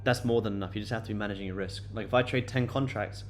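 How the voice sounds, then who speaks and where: Man, late 20s, lowish voice, casual live-stream chat, loose delivery full of fillers. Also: fairly even intonation, very fast, a little hiss or hum. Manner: loose and chatty, many fillers and repeated words. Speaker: day-trading livestream host.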